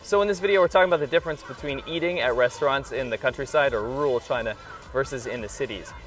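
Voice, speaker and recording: Fast-talking American man, enthusiastic vlogger. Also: medium pitch, distant mic, faint music behind. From rural New York, United States. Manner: enthusiastic, emphatic. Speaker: vlog host. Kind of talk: casual vlog talk to camera.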